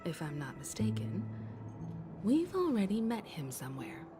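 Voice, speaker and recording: An actress playing a poised, perceptive woman who leads an expedition in a video game, composed, polite and gently probing. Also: medium pitch, wildly swooping pitch, normal speed, music in the background. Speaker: video game character voice actor. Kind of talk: dramatic game dialogue.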